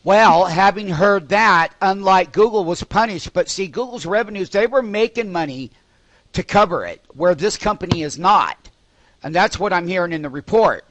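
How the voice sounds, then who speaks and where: A man's voice: high voice, lively intonation, moderate pace, a bit bright. A man hosting a live stock trading call with emphatic, repetitive commentary.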